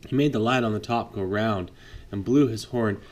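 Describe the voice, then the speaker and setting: A man reading a picture book aloud to his child at bedtime, lively, pausing to chat with the child. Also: fairly low pitch. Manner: lively read-aloud, voices for the trucks, chats with the child. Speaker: father reading a bedtime story.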